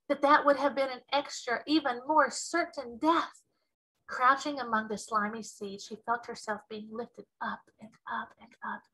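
A woman in her thirties reading a children's story aloud with expressive narration, like a teacher. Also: on the high side, low-quality recording.

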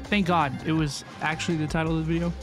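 A woman's voice: low-pitched, little pitch movement, fast. Enthusiastic woman reacting over music. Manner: gushing, enthusiastic. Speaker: reaction streamer. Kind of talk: casual reacting to game videos. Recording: music behind the voice. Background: music and game video audio.

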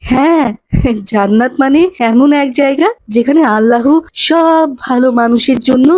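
A woman voicing an earnest, pious boy who explains things gently in a cartoon. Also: high-pitched, normal speed, natural voice.

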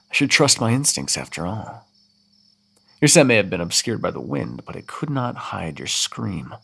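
A man playing a gravelly, mocking old vampire. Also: low-pitched, fairly bright tone, exaggerated voice. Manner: condescending, teasing, turns sharply threatening. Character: centuries-old male vampire, menacing and mocking. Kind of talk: ASMR audio roleplay.

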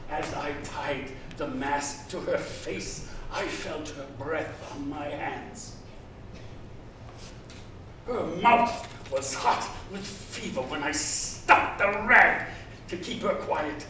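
A vain old slave-ship captain in a stage drama. His theatrical voice is slow and high for a man, heard from far off in a very echoey hall. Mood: menacing, gloating. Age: older. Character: vain, possessive old slaving captain and former senator. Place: large theater stage, indoors. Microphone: far from the speaker on stage. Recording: echoey hall, distant mic, hiss.

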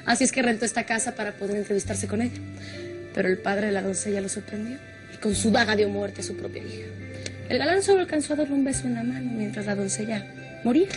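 A woman's voice: mid-range voice, fast, music in the background. A woman narrating an old legend as a tour guide in a telenovela.

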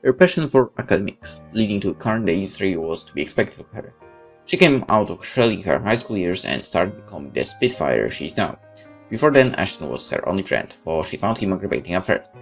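Low-voiced man reading game text aloud. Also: sing-song, fairly quick, fairly bright tone. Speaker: gaming video host. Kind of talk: video game let's play, reading text aloud with asides. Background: game music.

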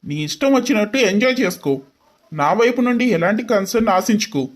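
A woman plays an angry young husband in a dramatized story, pitched well below the storyteller. He is cold and accusing, and speaks with open contempt. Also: lively intonation, brisk, close to an everyday voice. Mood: contemptuous, disgusted.

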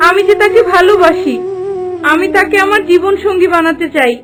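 A woman playing a gentle, lovestruck young landlord's daughter in a cartoon fairy tale. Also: very high-pitched, steady tone, a little fast. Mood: pleading. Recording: clear music behind the voice.

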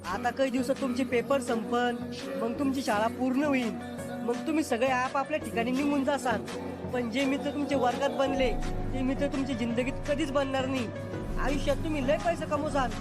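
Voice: high-pitched, steady tone, fairly quick, close to an everyday voice. A voice actor playing a strict rural male schoolteacher, blunt and scolding. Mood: heartfelt. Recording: loud music under the voice.

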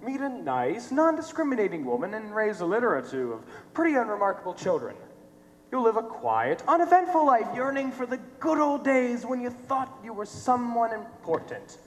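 A man's voice: extremely high pitch, big pitch swings, moderate pace. A young man on stage playing a sardonic, theatrical tormentor who taunts cruelly. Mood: taunting. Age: young adult. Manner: theatrical, mocking. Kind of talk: high school stage play acting. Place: theater stage, filmed from the audience. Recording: echoey theater stage, noisy hum.

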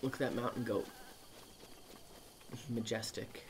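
A man's voice: lowish voice, measured pace. A young man chatting and teasing his friend while watching him play a video game. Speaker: co-player commentator. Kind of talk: casual let's play chat. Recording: faint background noise.

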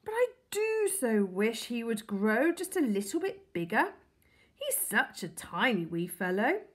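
A soft, kindly lady who gushes and pleads in a lilting, high voice, voiced by a woman reading aloud. She speaks slowly, with a breathy edge, from a storybook. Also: sing-song. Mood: insistent. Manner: effusive, pleading. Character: sweet, gushing middle-aged woman doting on her pet.

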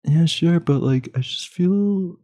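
Man doing a high, timid cameraman. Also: slow pace, warm tone. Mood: hesitant criticism. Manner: hesitant, hedging. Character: timid, judgy male cameraman off screen.

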